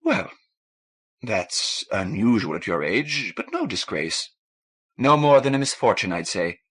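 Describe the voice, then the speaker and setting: A man voicing a warm, frank Irish woman, a ship's doctor, in a lilting, coaxing manner for an audiobook. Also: on the low side, sing-song, unhurried, husky, full character voice.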